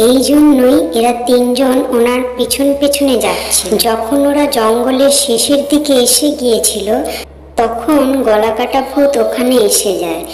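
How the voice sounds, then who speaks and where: A woman narrating a scripted animated horror story as its storyteller. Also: a little high, unhurried. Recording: echoey, long reverb, music behind.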